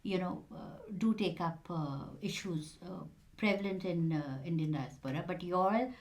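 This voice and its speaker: A woman's voice: fairly low pitch, normal speed, a little mellow. A woman hosting a radio interview in a conversational, encouraging way, often affirming her guests. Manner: encouraging, frequent short affirmations. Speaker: radio show host.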